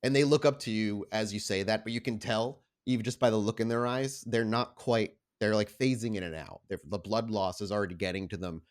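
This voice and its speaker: A man's voice: on the low side, fairly even intonation, a little fast, hardly any pauses. A man working as a game master, improvising descriptive, conversational narration for a tabletop roleplaying game. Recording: background music.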